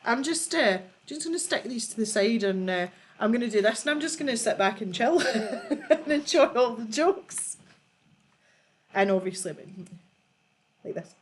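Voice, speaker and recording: A woman's voice: a little high, expressive, normal speed, a little hiss or hum. A woman hosting a casual livestream, reading out viewer jokes and laughing often as she chats. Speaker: livestream host.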